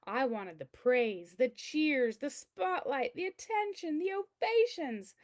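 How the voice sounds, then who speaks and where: A woman's voice: sits high, lively intonation, slow pace. A woman voicing a boastful, pompous chunk of cheddar cheese in a theatrical storybook read-aloud. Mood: eager, proud. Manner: pompous, showy, theatrical delivery.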